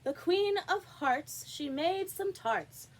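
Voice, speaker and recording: Woman as a high, officious rabbit herald. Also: lively intonation, takes it slowly, heavily acted, rough recording. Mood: declaiming.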